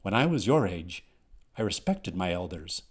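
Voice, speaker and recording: A man's voice: mid-range voice, big pitch swings, normal speed. A pompous old magical amulet voiced by a male storyteller lectures in formal, old-fashioned phrases. Its delivery swings up and down with affronted dignity, in a storybook manner. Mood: indignant. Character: Mim, elderly pompous talking amulet, easily affronted.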